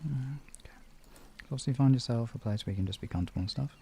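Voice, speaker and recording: A man with a low, gravelly and mellow voice, talking as the host of a guided relaxation stream. He is informal and gently instructive. There is some background noise in the recording. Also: quick pace. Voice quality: deep voice. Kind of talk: casual chat moving into guided relaxation and hypnosis instruction.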